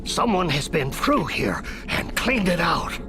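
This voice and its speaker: Excitable swooping young villain. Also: female voice, lowish voice, unhurried. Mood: eager. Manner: eager, over-excited. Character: excitable, gushing young supervillain fan. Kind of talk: animated video game acting. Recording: loud music behind the voice.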